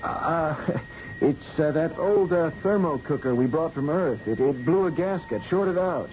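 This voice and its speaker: An actor playing an earnest, suspicious scientist in a futuristic radio drama, speaking in a halting, conspiratorial way. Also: male voice, fairly high pitch, animated, a little mellow, a little hiss or hum. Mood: nervous cover-up. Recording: dull old radio broadcast, music behind.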